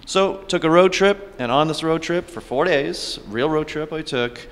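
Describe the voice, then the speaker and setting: A man's voice: on the high side, normal speed, a bit bright. A man in his thirties from Canada, a film director answering interview questions with dry, understated humor. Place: festival press wall, indoors. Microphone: host's handheld mic. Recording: reverberant hall.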